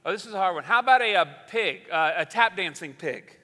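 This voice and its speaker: A man's voice: sits high, lively intonation, a little fast, pushed and bright. A man playing an easygoing, folksy boss of a live radio show in a stage comedy, chatting with hesitant starts. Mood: upbeat, bustling. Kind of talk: theatrical stage comedy acting. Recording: reverberant hall, live stage sound.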